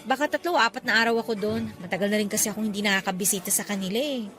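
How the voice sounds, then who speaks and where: A woman's voice: medium pitch, very fast, fairly bright tone, faint music behind, lightly acted. A woman playing a trusting, affectionate girlfriend in a radio drama, with a conversational, questioning way of talking. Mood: light, teasing. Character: trusting, affectionate young girlfriend, easily hurt. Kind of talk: Tagalog radio drama acting.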